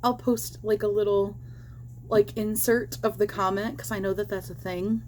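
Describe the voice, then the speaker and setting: A woman chatting casually while she teaches crochet at home, rambling with fillers and small laughs, her voice slightly hoarse because she is losing it. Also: medium pitch, steady tone, normal speed, slight background noise. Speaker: crochet vlogger. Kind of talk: casual crochet tutorial chat.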